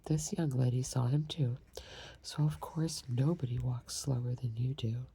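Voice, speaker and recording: A woman's voice: very deep voice, fairly even intonation, unhurried, creaky, dark tone, husky, exaggerated voice. A woman voicing a fussy, faint-hearted old king in a whispered ASMR storybook reading. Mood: fretful. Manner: whispered, fretful.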